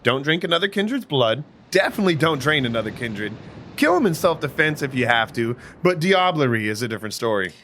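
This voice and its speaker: An actor playing a cocky, callous vampire mentor in an audio drama, with a flippant, sarcastic way of talking. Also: male voice, mid-range voice, sing-song, brisk, fairly bright tone, slight background noise.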